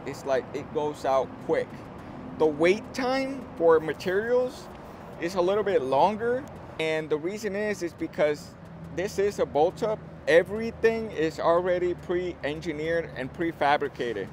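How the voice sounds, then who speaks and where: A man in his thirties presenting an unscripted walkthrough of a building site, explaining informally and often rephrasing himself. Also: on the high side. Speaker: construction company presenter giving a site tour. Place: outdoors on an open steel-frame construction site. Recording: clear outdoor site and vehicle noise.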